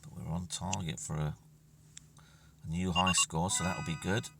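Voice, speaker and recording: A man's voice: low-pitched, steady tone, moderate pace, vocal fry. A man giving casual commentary on his own gameplay, muttering to himself and often trailing into near-whispers. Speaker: gamer narrating his own play. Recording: distant mic with music playing.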